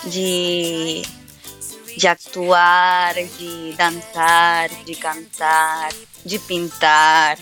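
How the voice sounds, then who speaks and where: A young woman guest, speaking Portuguese slowly and carefully. Her voice is bright and clear, and she stops often to restart words. Faint music plays behind her. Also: on the low side, monotone. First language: Spanish. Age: young adult. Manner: hesitant, halting, often restarting words and laughing. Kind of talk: live interview.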